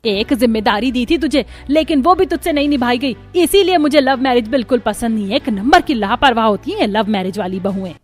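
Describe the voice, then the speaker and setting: A woman's voice: a little high, expressive, brisk, hardly any pauses, faint music behind, natural voice. A woman playing a stern middle-aged mother-in-law in a cartoon family melodrama. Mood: furious scolding.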